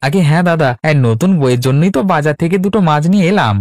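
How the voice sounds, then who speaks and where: A man's voice: fairly high pitch, animated, fast talker, a little mellow, no room sound, clean studio sound, lightly acted. A man voicing a gullible, obliging young newlywed villager in a cartoon, with a hesitant, naive manner. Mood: eager, obliging. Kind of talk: Bengali cartoon voice acting.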